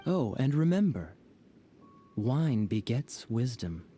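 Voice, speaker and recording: A sly, teasing knight captain in a video game. His voice is mellow and warm, with a playful lilt that rises and falls freely. Soft game music hums behind him. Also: mid-range voice, very animated, slow pace.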